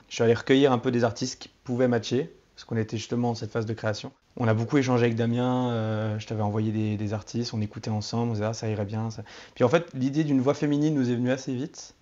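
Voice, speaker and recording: A man with a mid-pitched voice speaks as a band musician being interviewed. His delivery is relaxed and conversational. The recording sounds a little dull and lo-fi. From Turkish family background.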